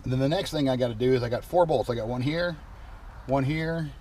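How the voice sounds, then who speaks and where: Male DIY vlogger, mid-pitched, folksy and informal, explaining hands-on steps; clearly noisy in-vehicle recording. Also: fairly quick, a little mellow. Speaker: DIY vlogger demonstrating a car repair. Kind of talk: casual hands-on tutorial talk. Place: inside or beside a Jeep.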